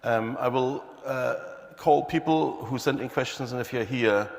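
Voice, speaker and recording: A man chairing a hustings, introducing candidates and moving the evening along in a brisk, procedural way. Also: medium pitch, creaky. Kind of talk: event moderation. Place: large church hall. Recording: long church hall reverb.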